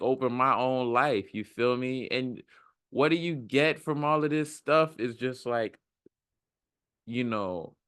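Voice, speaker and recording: A man's voice: medium pitch, sharp tone, no room sound, clean studio sound. A man talking casually and earnestly to his viewers in a motivational monologue, rambling, with slangy phrasing and repeating himself for emphasis. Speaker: self-development video creator.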